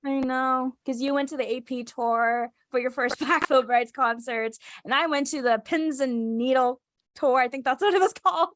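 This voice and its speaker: A woman's voice: high voice, moderate pace, a bit bright, no echo. A young woman co-hosting a casual fan podcast, stuffy from a cold, giggly and chatty. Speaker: podcast co-host.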